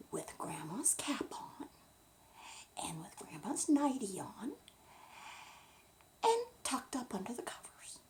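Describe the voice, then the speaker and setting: A woman narrating a bedtime story in a dramatic, expressive, unhurried way. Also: fairly high pitch, slight background noise.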